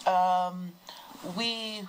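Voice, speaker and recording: A woman speaks as a guest on a studio discussion. Her voice sits fairly low, and she explains things in a measured way. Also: steady tone, slight background noise, rough recording.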